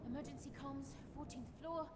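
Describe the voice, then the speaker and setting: A woman playing a high-pitched, headstrong young woman. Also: fairly even intonation, brisk, natural voice. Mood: determined. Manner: urgent, defiant. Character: headstrong, anxious young woman searching for her father. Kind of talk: dramatic game audio drama acting. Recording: noisy ambience behind the voice.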